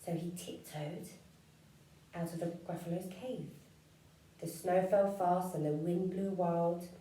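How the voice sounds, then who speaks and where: Slow, mellow woman reading a storybook. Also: lowish voice, steady tone. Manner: slow, rhythmic rhyming storytelling. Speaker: children's story reader. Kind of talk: reading a rhyming picture book aloud. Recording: echoey room, far from mic.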